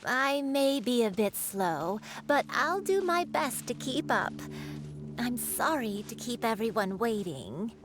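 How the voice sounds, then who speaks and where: An actress playing a frail, gentle old grandmother in a video game, wistful and humble, with a fragile voice. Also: a little high, big pitch swings. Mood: apologetic. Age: older. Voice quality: creaky, fragile elderly voice. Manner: slow, wistful, humbly apologetic.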